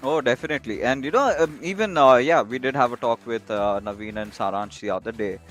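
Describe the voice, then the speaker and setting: A man's voice: mid-range voice, fairly quick, a bit bright, faint music behind. A man doing live esports co-commentary, hyped and full of fillers, at times slipping into Hindi.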